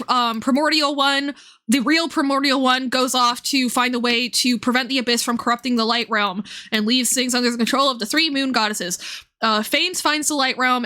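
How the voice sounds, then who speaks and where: A woman's voice: a little high, pushed and bright. A woman livestreamer giving casual, enthusiastic commentary on game lore, informal and prone to swearing.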